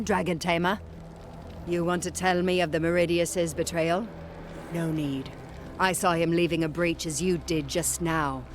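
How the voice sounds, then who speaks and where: A woman's voice: fairly low pitch, a little hiss or hum, natural voice. A woman playing an earnest, cautious ally who gives solemn guidance in a game cutscene. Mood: suspicious. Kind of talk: video game cutscene acting.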